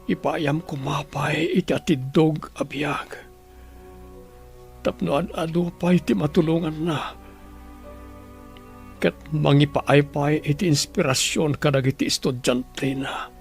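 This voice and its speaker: A man acting in a radio drama as a wealthy husband who pleads prayerfully, with a breathy delivery. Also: a little high, a little slow, long pauses, faint music behind. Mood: anguished, pleading. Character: anguished wealthy husband praying for his wife.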